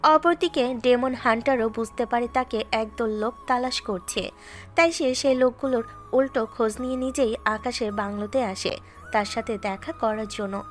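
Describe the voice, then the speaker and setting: A woman narrating a Bengali audio drama in a steady, scripted storytelling manner. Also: a little high, moderate pace. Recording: faint background music.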